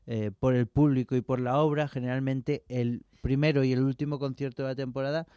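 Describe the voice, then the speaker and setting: A man's voice: mid-range voice, fairly even intonation, fairly quick, warm tone, clean tone, dry recording. A man with a Madrid accent, a conductor and violinist chatting casually as a radio guest, laughing easily as he explains. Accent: Madrid Spanish.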